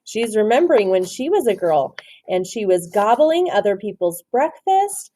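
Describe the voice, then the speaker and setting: A woman teacher gives an expressive read-aloud of a children's story. Her pitch is lively and moves freely. She stops to ask questions and explain things simply. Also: moderate pace.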